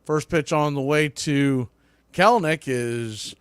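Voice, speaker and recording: A man doing live baseball radio play-by-play in short, clipped phrases, rising to excitement when the action calls for it. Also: fairly high pitch, slow, clear, resonant tone, no room sound. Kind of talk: live sports radio commentary.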